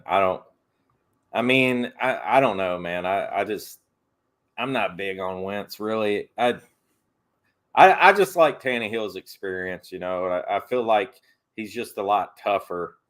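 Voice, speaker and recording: A man's voice: deep voice, measured pace, fairly bright tone. A man chatting as guest on a casual fan live stream, giving his opinions hesitantly with stammered starts. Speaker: guest co-host.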